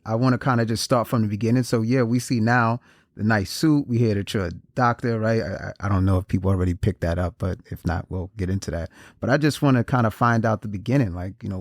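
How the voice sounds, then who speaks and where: A man with a low-pitched voice hosts a podcast interview over a video call. He talks casually and haltingly, often restarting his sentences and repeating words. The sound is dry and close, with no room echo. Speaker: podcast host.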